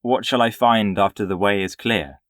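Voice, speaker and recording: An actor playing a brooding young poet beset by phantoms in a verse drama, lyrical and declamatory. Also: male voice, lowish voice, moderate pace, no echo, studio quality, lightly acted. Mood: resolved, musing.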